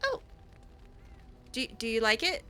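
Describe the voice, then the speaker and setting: A woman improvising in-character dialogue as she plays a roleplaying game, halting and repeating words. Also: fairly high pitch, big pitch swings, bright, forceful tone, slight background noise.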